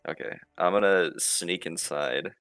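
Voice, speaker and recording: A man's voice: deep voice, unhurried, a bit bright, clean tone, no echo, faint music behind. A man playing a tabletop roleplaying game, chatting casually and thinking aloud in a hesitant way.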